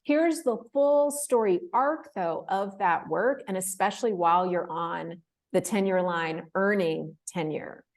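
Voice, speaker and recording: A woman in her thirties, a guest in an interview, telling her story in a casual, animated way, laughing often and acting out the voices of people she quotes. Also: medium pitch, measured pace, few pauses. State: emotional, close to tears. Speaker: interview guest, fellowship winner.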